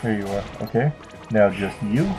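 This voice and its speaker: A man's voice: fairly low pitch, lively intonation, moderate pace. A young man giving casual, unscripted video game commentary in a hesitant, rambling, offhand way. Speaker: let's play commentator. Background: video game music.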